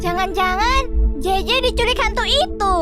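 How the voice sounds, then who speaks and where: A woman's voice: extremely high pitch, normal speed, voice pushed up. A woman voicing a small young sea creature in a children's cartoon, bossy and urgent like an alarmed friend. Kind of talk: animated children's story voice acting. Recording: music behind the voice. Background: loud background music.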